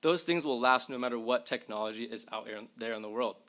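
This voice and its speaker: Young guy doing a chatty tech demo on stage, bright crisp voice, talks right to the crowd. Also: mid-range voice, quick pace. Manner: chatty, addresses audience directly. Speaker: tech presenter. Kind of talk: casual symposium talk with live demos. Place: university lecture hall.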